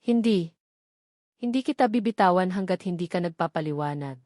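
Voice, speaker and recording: A woman's voice: on the low side, animated, brisk, mellow, dry recording, lightly acted. An insistent, confused former lover demanding answers, a man as a woman narrator acts him out. The delivery is firm and pleading, for a storybook romance. The recording is very dry.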